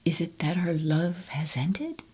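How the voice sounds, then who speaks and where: A woman voicing a lovesick male turtle who pleads softly in a whisper. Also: fairly low pitch, big pitch swings, measured pace, husky, heavily acted. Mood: tender pleading.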